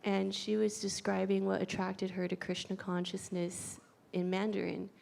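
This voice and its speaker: An American woman giving a grateful personal testimony, soft-spoken and steady with small fillers. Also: medium pitch, normal speed, vocal fry. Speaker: pilgrim sharing reflections.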